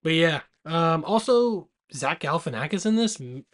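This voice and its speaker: A man's voice: fairly high pitch, animated, moderate pace. A man co-hosting a podcast, chatting casually about films and repeating his points for emphasis. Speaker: podcast co-host. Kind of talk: casual movie chat.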